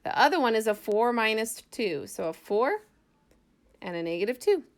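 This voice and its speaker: A woman's voice: a little high, very animated, normal speed. A woman math teacher giving an informal lesson, thinking aloud as she explains worked examples step by step in a casual way.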